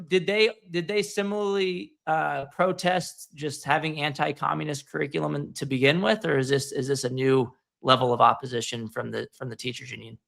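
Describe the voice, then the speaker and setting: A man's voice: a little high, vocal fry, a bit bright. A man hosting an interview, asking questions in a casual, chatty way with restarts and fillers. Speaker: interview host. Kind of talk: conversational interview.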